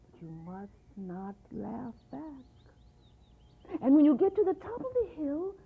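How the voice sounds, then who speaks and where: A woman voicing a mysterious little old woman who gives a slow, hushed warning in a storybook tale. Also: sits high, voice pushed up, exaggerated voice. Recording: tinny phone-like sound.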